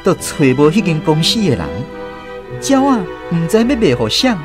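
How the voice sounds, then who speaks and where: A man's voice: a little high, very animated, normal speed, music in the background. A man with a Taiwanese accent narrating a radio drama as a scripted story.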